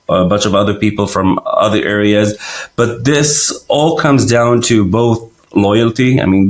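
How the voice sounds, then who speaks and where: Hesitant man from Israel, low voice. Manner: hesitant, many fillers and restarts. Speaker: company founder, guest. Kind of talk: casual interview answers.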